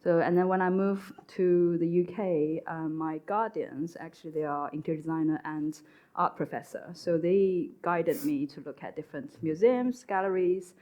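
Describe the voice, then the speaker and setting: A woman from China, an interior designer speaking thoughtfully on a panel, with some halting restarts. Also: fairly low pitch, warm tone, highs cut off. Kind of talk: panel discussion. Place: stage at a design event.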